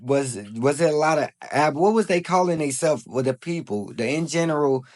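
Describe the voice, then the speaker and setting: A man's voice: a little high, expressive, moderate pace, darkish tone. A man in his thirties interviewing his grandfather casually, asking probing questions with many fillers. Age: 30s.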